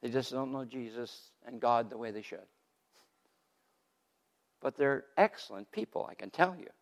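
An older man telling his faith story from the pulpit in a loud, lively way, laughing between points. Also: medium pitch, moderate pace. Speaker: guest speaker giving testimony. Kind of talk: testimony sermon. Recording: long hall reverb.

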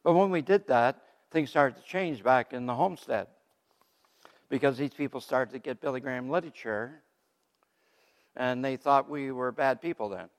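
An older man telling his faith story from the pulpit in a loud, lively way, laughing between points. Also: medium pitch, moderate pace. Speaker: guest speaker giving testimony. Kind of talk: testimony sermon. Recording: long hall reverb.

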